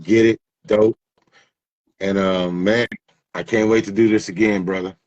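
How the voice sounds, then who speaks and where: A man's voice: lowish voice, steady tone, measured pace, darkish tone, dry recording, lo-fi sound. A man in his fifties chatting casually as an interview guest, plain-spoken and slangy. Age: 50s. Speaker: music producer, interview guest. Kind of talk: casual chat.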